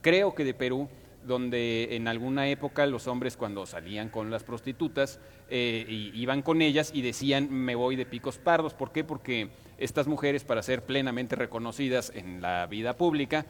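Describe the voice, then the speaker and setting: Mexican man as live-show co-host. Average pitch, casual playful chat, faint noise behind. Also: moderate pace.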